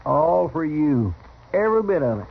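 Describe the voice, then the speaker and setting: A folksy American sidekick from an Old West town in a 1950s radio drama. His voice is on the high side, polite and good-natured. The aged recording is dull and clearly noisy. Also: very animated, normal speed. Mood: eager, deferential. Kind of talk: 1950s radio western acting.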